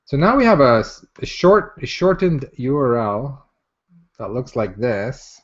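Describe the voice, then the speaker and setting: Male demo host, mid-pitched, informal and upbeat, chatty with viewers; muffled lo-fi webinar audio. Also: very animated, a little slow. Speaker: software presenter hosting a live online demo. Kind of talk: casual live product demo. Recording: dull, muffled lo-fi online audio.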